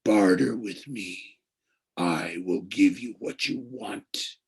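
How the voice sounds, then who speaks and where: A man voicing an ancient, cold, evil presence from a pit, speaking slowly in a hushed, menacing, heavy way for a storybook. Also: sits low, creaky, breathy edge, full character voice. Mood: tempting.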